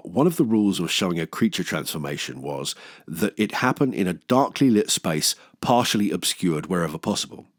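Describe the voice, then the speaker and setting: A man's voice: on the low side, dry recording, clean studio sound. A man hosting a film review, delivering scripted commentary with wry, enthusiastic jokey asides. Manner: enthusiastic, wry, breaks into chuckles.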